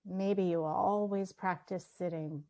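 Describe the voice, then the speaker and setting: A woman leading a guided meditation, speaking gently and leaving long pauses between her phrases. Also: mid-range voice, steady tone. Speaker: meditation teacher.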